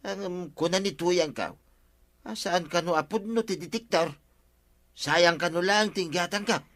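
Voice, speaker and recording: A man's voice: high voice, unhurried, a bit bright. A man playing a gullible, eager villager in an Ilocano radio comedy, insistent and speaking with an Ilocano accent. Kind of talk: Philippine radio comedy drama acting.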